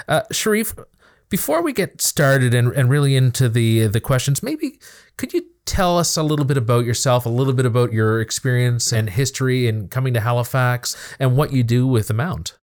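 A fairly low-pitched man hosts an interview, introducing his guest and steering the conversation. His phrasing is polished and enthusiastic. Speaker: podcast host.

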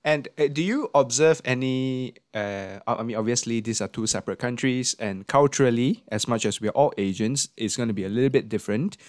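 A man's voice: mid-range voice, brisk, hardly any pauses, no room sound, studio quality. A man hosting a casual, conversational interview. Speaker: podcast host, interviewer.

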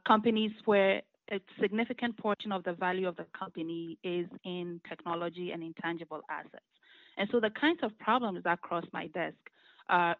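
A woman, a law firm partner giving measured, explanatory interview answers with frequent fillers. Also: medium pitch, no room sound. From Ghana. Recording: muffled lo-fi audio.

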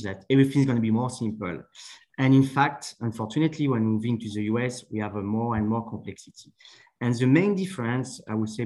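A man from France with a French accent, an accountant answering interview questions haltingly with many fillers. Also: lowish voice, darkish tone. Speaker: accountant, podcast guest.

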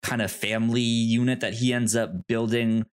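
A man co-hosting a podcast, discussing films casually in a halting, rambling way with easy laughter. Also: medium pitch, level tone, smooth. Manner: rambling, stammering, laughs easily. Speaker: podcast co-host.

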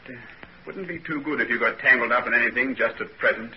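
A man acting a loyal, worried, bluff gentleman in a 1940s radio drama. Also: mid-range voice, fast talker, lightly acted. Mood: bluff, protective. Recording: dull, noisy old 1940s radio recording.

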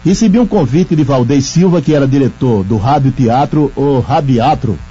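An older man, a veteran radio presenter reminiscing in a measured way. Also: medium pitch, lively intonation, warm tone, slightly rough. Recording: dull lo-fi archive audio, faint music.

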